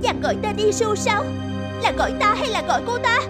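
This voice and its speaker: A woman voicing a jealous, bitter young noblewoman in an animated fairy tale, indignant and melodramatic. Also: extremely high pitch, brisk, loud music behind the voice. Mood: jealous, hurt.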